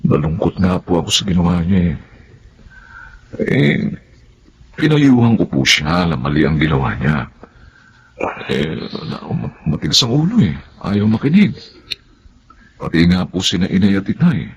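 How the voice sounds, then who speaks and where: A man playing a concerned, chatty young Filipino friend in a radio drama, expressive and full of exclamations and questions. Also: deep voice, moderate pace, pauses often, breathy edge, heavily acted. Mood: polite, concerned. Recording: faint background noise and ambience.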